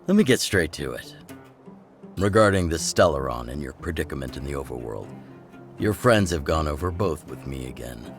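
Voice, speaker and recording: Gruff underground chief, middle-aged, extremely low voice, measured and plain-spoken authority, video game dialogue over faint music. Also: male voice, lively intonation. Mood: measured, resolute. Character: gruff older male chief of underground resistance, measured, pragmatic.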